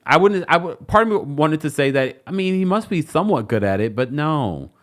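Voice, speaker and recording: A man's voice: on the high side, expressive, fairly quick. A man hosting a celebrity news show, reading the story and adding mocking, sassy asides. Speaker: show host.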